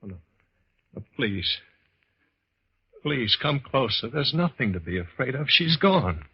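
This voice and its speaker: An actor playing an obsessed husband entranced by a phantom, intense and melodramatic in a 1950s radio horror style. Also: male voice, medium pitch, very animated, measured pace, breathy edge, full character voice. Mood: entranced, hushed. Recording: dull, lo-fi vintage radio recording.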